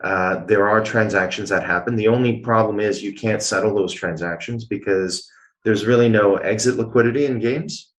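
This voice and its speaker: A man's voice: on the low side, normal speed, fairly bright tone. A man from Canada, a guest answering interview questions in an explanatory way, with many filler sounds. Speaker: guest, blockchain executive.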